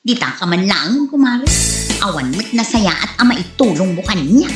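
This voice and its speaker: A woman's voice: mid-range voice, sing-song, normal speed, echoey room, music in the background, natural voice. A woman with an Ilocano Filipino accent playing a defensive, hardworking vendor in a comedy drama, protesting in exasperation. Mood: exasperated. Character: gossiped-about woman insisting she has changed, defensive, hardworking vendor. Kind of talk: comedy radio drama acting.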